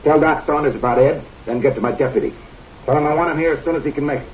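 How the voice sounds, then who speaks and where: An actor as a gruff stern sheriff. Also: male voice, a little high, racing, recorded from a distance, a little hiss or hum, close to an everyday voice. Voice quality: gruff, curt commands. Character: stern frontier sheriff man. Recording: muffled old film soundtrack with music.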